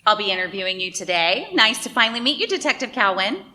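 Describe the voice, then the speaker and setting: A woman's voice: medium pitch, big pitch swings, fast talker, sharp tone. A woman playing a polished, gushing radio interviewer in an audio drama, self-assured and effusive.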